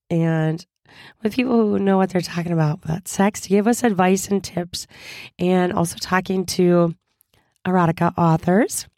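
Hoarse woman host, cheerful and chatty, lower voice, dry close recording. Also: a little mellow, dry recording. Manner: chatty, upbeat, laughs often. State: hoarse from a cold. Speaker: podcast host. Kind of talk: casual solo podcast chat.

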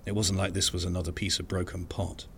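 A man giving scripted first-person narration of an eerie story in a measured, uneasy way. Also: deep voice, level tone, a bit bright, slight background noise.

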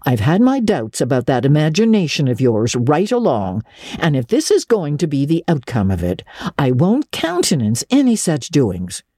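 A woman plays an unyielding older guardian from a classic storybook. Her voice is low, dark and husky, and she speaks in a firm, clipped, unsympathetic way with no patience for nonsense. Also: sing-song, normal speed, dry recording, studio quality, heavily acted. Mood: firm, scolding.

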